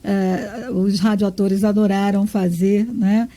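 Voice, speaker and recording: A woman's voice: medium pitch, level tone, slow pace. A woman from Brazil hosting a radio interview, effusive and full of praise. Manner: warm, effusive. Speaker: radio host.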